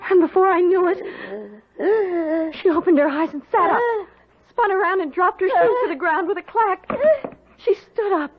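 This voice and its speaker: A woman's voice: very high voice, animated, a little slow, pauses often, faint music behind. An actress playing a sensitive, easily frightened young woman in a radio drama, with an earnest, hesitant, dramatic delivery. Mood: hushed dread. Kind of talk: old-time radio drama acting. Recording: dull old radio recording.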